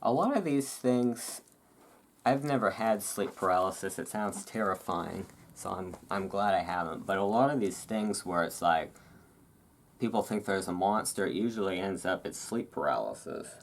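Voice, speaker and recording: A host doing a relaxed ASMR-style reading aloud, breaking off into informal, musing asides. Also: sits low, moderate pace, slight background noise. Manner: informal, chatty, musing asides between read passages.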